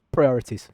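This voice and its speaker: A man talking through a simulator game as a channel host, in a self-described monotone with frequent ums. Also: a little hiss or hum. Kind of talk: casual gameplay commentary.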